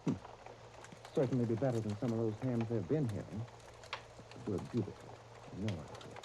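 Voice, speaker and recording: A man's voice: lowish voice, brisk, lightly acted. An actor playing a timid, theatrical, easily flustered man in a 1930s radio serial. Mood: fretful. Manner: fussy, flustered, stammering. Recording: muffled, hissy 1939 radio broadcast.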